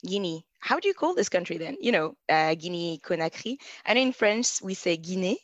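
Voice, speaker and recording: A young woman in her twenties talks easily about herself as an interview guest. Her voice sits a little low, and she laughs often between thoughts. The sound is dull and very dry. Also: very animated, normal speed, dry recording. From Germany. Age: 20s. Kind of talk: casual chat.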